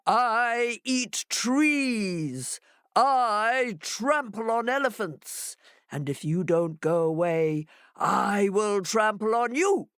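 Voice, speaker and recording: Brief: woman playing a menacing storybook monster, drawn-out booming delivery. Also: animated, fairly bright tone, smooth, no echo, clean studio sound. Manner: slow, booming threats. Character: booming monster hiding in a house.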